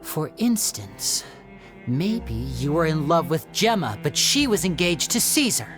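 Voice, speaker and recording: Cool young magician interrogator. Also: male voice, on the high side, very animated, a little slow, fairly bright tone, soft background music. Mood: probing. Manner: cool, probing, lightly mocking. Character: young male stage magician interrogating a villain. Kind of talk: video game voice acting.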